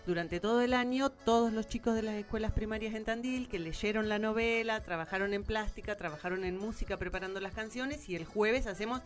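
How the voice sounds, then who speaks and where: A woman's voice: medium pitch, fairly quick, few pauses, faint music behind. A woman with an Argentine Spanish accent, speaking informally and explaining as a guest in a radio interview. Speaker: interviewed theatre event organiser.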